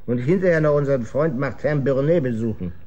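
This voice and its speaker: An actor playing a hungover, bitter, cynical gentleman with a sardonic and weary manner in a German radio drama. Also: mid-range voice, fairly quick, muffled recording, natural voice. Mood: bitter, irritated.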